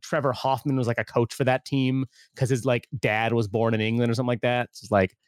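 A man's voice: mid-range voice, flat delivery, fast, dry recording, studio quality. A man hosting a casual podcast, chatting loosely with plenty of fillers and restarts. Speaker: podcast host.

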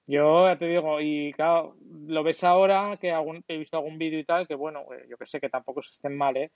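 A man in casual chat as a co-host, relaxed and asking questions. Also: on the high side, brisk, no echo. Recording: dry, dull lo-fi sound.

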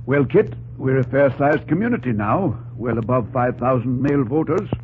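An earnest frontier doctor played by a male actor with a medium-pitched voice. He is polite, measured and steadfast in classic radio-drama style, on a muffled recording with faint noise. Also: expressive, lightly acted. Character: earnest, civic-minded frontier doctor, calmly principled. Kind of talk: old-time radio drama acting.

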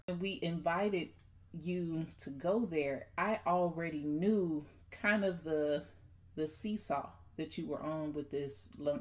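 A woman's voice: lowish voice, a little mellow. Chatty Midwestern woman hosting a podcast. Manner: chatty, playful, talks straight to listeners. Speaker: podcast host. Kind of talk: casual conversational podcast.

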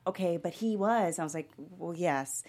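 Low-voiced woman, rambling and casual. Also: normal speed, a little mellow. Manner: rambling, peppered with "like". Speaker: panelist. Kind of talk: casual panel discussion.

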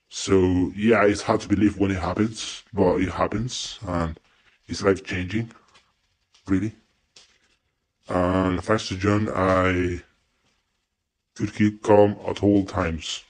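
A man's voice: low-pitched, slightly rough. A man from Spain with a mixed Spanish and American accent, a native Spanish speaker giving a casual, hesitant testimonial. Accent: mix of Spanish and American. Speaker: student giving a coaching testimonial.

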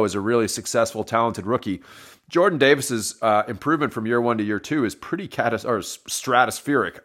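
A man reviewing a football game on his own, hoarse and wheezy, coughing and correcting himself as he talks to his listeners. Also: medium pitch, a bit bright. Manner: rambling, self-correcting, addresses listeners as folks. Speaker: sports podcast host.

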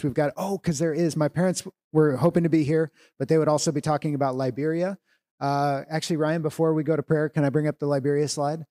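A man with a somewhat high, mellow voice leads a church gathering. He hands out instructions to tables of people in a relaxed, joking way. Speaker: church leader. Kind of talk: leading a church family meeting. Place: meeting hall with tables of people.

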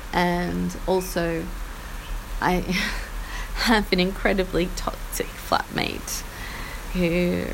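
A husky-voiced Australian woman in her forties, worn down by a chest infection, talks in a slow, unhurried drawl. Her voice sits a little low as she rambles, trails off and laughs at herself. Faint rain patters in the background. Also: a little hiss or hum, low-quality recording. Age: 40s. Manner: rambling, self-deprecating, trailing off. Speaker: podcast host. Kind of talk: casual personal update. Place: small outdoor patio in the rain.

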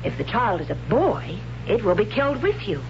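A woman's voice: medium pitch, sing-song, moderate pace. A woman playing a blunt young warrior woman who holds a man captive, in a 1970s radio drama; dull old broadcast sound with hiss. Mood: earnest. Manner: blunt and dismissive, then curious. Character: young warrior woman captor, matter-of-fact, pious.

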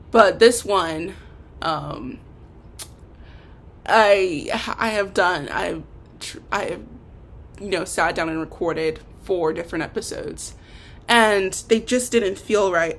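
A woman's voice: mid-range voice, slight background noise. A young woman hosting an unscripted solo podcast, talking in a rambling, hesitant, self-deprecating way. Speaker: podcast host.

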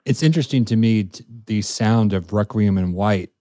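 A man's voice: on the low side, moderate pace, mellow, no room sound, studio quality. A man hosting a casual chat, asking questions like an eager music fan.

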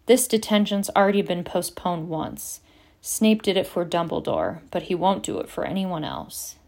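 A woman's voice: on the low side, animated, normal speed, vocal fry, darkish tone. A woman voicing a wry teenage schoolboy in an audiobook. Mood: matter-of-fact. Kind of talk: audiobook character reading.

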